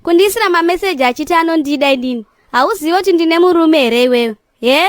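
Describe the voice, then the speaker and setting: A woman voicing an indignant, sharp-tongued married neighbour with a Zimbabwean accent, scolding in a comedy cartoon. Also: very high-pitched, expressive, fast talker, dry recording.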